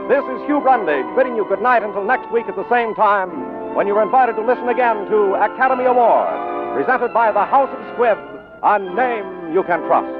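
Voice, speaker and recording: A man reading scripted credits as a 1940s radio announcer, formal and measured. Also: sits high. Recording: muffled 1940s radio broadcast. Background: loud music.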